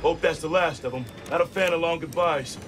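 An actor playing a brash, urgent squad fighter pilot in a video game action scene. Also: male voice, fairly high pitch, brisk, loud music behind the voice, lightly acted. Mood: brisk, commanding.

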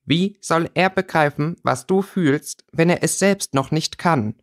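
A man's voice: a little high, measured pace, pitched above the performer's own voice, close to an everyday voice. A man voicing a blunt, angry schoolboy in a storybook reading, scolding emphatically. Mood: reproachful.

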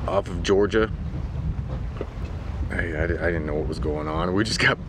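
Man, forties, very low pitch, matter-of-fact vlog explanation, hesitant phrasing, noisy outdoor boat recording. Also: normal speed. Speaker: sailing vlogger reviewing equipment. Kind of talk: casual explanatory talk to camera. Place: outdoors on a sailboat deck at anchor. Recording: windy outdoor boat deck noise.

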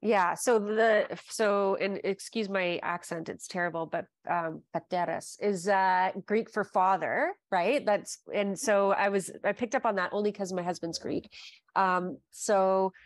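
A woman's voice: mid-range voice, a little slow, no echo, clean studio sound. A woman in her forties hosting a casual interview over a video call from her living room, chatty and gushing, with plenty of fillers. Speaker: podcast host.

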